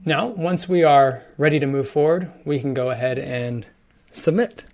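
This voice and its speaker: Man walking through a software demo. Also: fairly high pitch, moderate pace. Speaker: software product demonstrator. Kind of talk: screen-share software demo, explanatory walkthrough.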